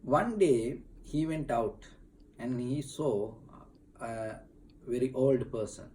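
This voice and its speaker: A man, a Buddhist monk being interviewed in a temple, explaining slowly with many fillers and restarts. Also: mid-range voice, warm tone, a little hiss or hum, rough recording. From Sri Lanka.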